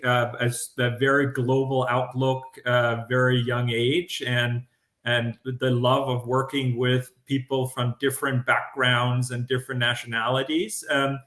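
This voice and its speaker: A man's voice: mid-range voice, a bit bright, roomy sound. A middle-aged Danish man with a Danish accent, a guest speaking in a livestreamed interview, thoughtful and full of fillers and restarts. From Denmark. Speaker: guest executive.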